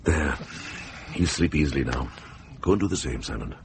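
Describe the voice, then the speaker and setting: An actor playing a wise older monk and herbalist in a radio drama, plain-spoken, measured and earnest. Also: male voice, rumbling low, animated, noisy background. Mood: calm, caring. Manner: measured, earnest, dryly authoritative.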